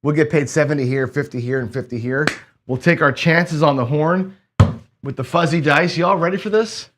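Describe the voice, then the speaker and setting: A man's voice: on the high side, normal speed, noisy background. Chatty man talking through a dice game. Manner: chatty, self-talking, stammering restarts. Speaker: casino gaming host. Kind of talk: casual chat while playing craps.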